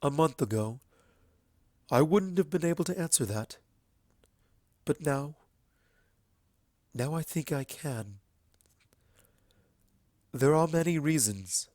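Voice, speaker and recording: A man voicing a kind, earnest female alicorn pony princess in a storybook reading, speaking in a solemn, measured way. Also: on the high side, animated, a little mellow, no echo, clean studio sound, voice pushed up. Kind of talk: fan fiction storybook reading.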